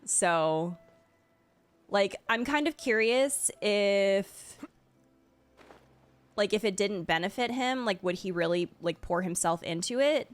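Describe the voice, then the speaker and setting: A woman's voice: mid-range voice, expressive, slow, long pauses. A woman streamer chatting casually as she reacts to a game. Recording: game music behind the voice.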